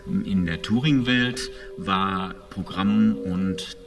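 A man's voice: low-pitched, very slow. A man explaining as an expert in an interview, speaking spontaneously. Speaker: interviewed expert, museum director. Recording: slightly distant, music behind.

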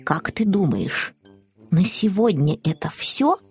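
A woman's voice: lowish voice, big pitch swings, slow pace, breathy edge, soft background music, exaggerated voice. A woman voicing a grumpy, stern young male kitten in a children's audiobook. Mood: weary. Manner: gloomy muttering, stern declaring.

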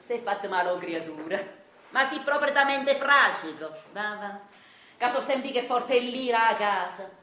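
A woman's voice: mid-range voice, lively intonation, moderate pace, bright, forceful tone, reverberant. An actress playing a fierce, domineering washerwoman matriarch with a Neapolitan accent, brash and mocking in a theatrical radio drama monologue. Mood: mocking, scolding. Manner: brash, scolding, mocking, breaking into laughter.

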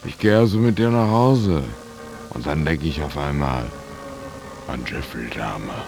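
A man's voice: extremely low pitch, a little slow, gravelly, a little mellow, full character voice. An actor playing a worn-out, aimless drifter who tells his story in coarse, slangy, clipped sentences in a radio drama. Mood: weary, coarse. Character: worn-out, aimless male drifter telling his own misadventure. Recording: music behind the voice. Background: guitar music.

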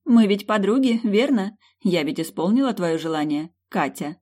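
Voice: medium pitch, animated, normal speed, darkish tone, pitched above the performer's own voice, natural voice. A narrator voicing a self-assured, teasing dragoness queen in a storybook, with a playful, commanding manner. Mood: coaxing.

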